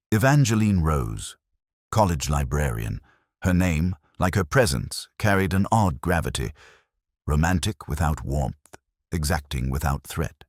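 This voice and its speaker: A man narrating a detective story in the first person, with a measured, literary, reflective delivery and a husky voice. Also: very deep voice, no echo, studio quality.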